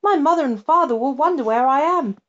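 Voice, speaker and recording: A woman's voice: sits high, animated, brisk, pitched above the performer's own voice. A woman voicing a frightened, polite little girl who pleads anxiously. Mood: worried. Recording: dull lo-fi recording.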